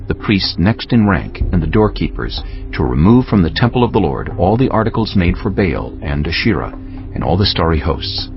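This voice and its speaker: A man narrating a scripture audiobook in a measured, solemn style. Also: deep voice. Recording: dull lo-fi sound with music behind.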